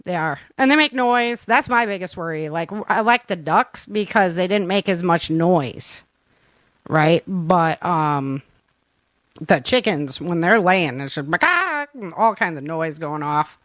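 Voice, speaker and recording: A woman hosting a casual chat show in an informal, animated, laughter-prone manner. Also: lowish voice, measured pace, no echo. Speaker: podcast host.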